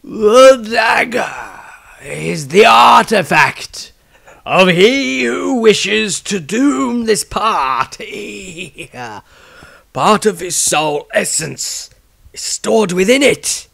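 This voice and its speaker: A man voicing an elder male wizard magister who slowly declaims his visions of the future. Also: high-pitched, animated, vocal fry, fairly bright tone, voice pushed up, heavily acted. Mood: prophetic.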